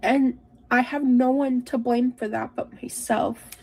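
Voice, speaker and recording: A woman speaks in a mellow, husky voice with plenty of creak. She talks confessionally to camera as a streamer. Her delivery is halting, emotional and self-reproaching. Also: on the high side, fairly even intonation. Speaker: streamer, in a clip being reacted to. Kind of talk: personal confessional talk to camera.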